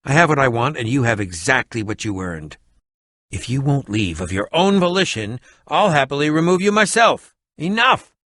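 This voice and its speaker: A man's voice: fairly high pitch, bright, forceful tone, dry recording, studio quality, exaggerated voice. A male actor voicing a haughty, scheming, villainous potion-maker in a video game, pompous, sneering and melodramatic, with over-enunciated delivery. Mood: suspicious, sneering. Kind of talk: video game character acting.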